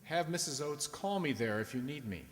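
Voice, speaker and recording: A man playing a kindly, earnest doctor in a radio drama, speaking in a halting, pleading manner. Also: a little high, animated, close to an everyday voice. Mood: gentle, apologetic. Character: earnest, kindly, urgent male family doctor. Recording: reverberant hall.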